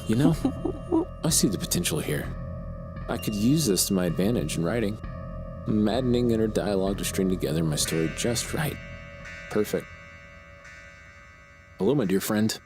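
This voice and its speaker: A man's voice: lowish voice, lively intonation, moderate pace, long pauses, over music, close to an everyday voice. A man playing a troubled, sardonic writer in an audio drama, speaking in wordy, mannered, slightly hesitant phrases. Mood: scheming.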